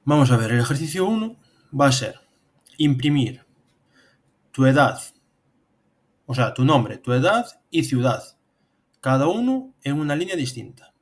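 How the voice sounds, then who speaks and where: A man's voice: medium pitch, sing-song, measured pace, pauses often. A man in his thirties with an accent from Spain, giving step-by-step tutorial narration as an instructor. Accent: Spanish from Spain. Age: 30s. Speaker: programming instructor. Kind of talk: tutorial narration over screen demonstration.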